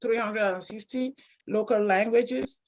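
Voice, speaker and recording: A woman's voice: fairly high pitch, fairly even intonation, a little slow, darkish tone, slight background noise, poor audio. A woman from Cameroon with a Cameroonian accent, speaking as a professor giving an informal, personal academic presentation at a seminar.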